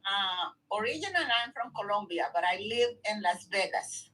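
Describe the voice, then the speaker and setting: A woman's voice: medium pitch, animated, moderate pace, fairly bright tone, poor audio. A woman chatting casually as a participant in an online group call. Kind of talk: casual chat.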